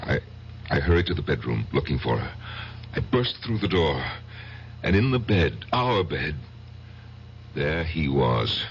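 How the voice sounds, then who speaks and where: Insecure, wounded husband in a radio play. Very low, swooping delivery, halting and self-deprecating, lo-fi broadcast sound. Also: male voice, big pitch swings, vocal fry, slight background noise, full character voice. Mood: anguished. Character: short, insecure husband, besotted and wounded, confessing his past. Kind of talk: old-time radio drama acting, confessional narration. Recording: dull lo-fi old radio broadcast, music behind.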